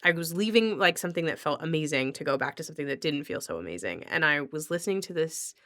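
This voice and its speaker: A woman's voice: sits low, lively intonation, fast talker, a bit bright. A woman hosting a casual podcast conversation, talking in an effusive, outgoing way with a readiness to laugh. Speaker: podcast host.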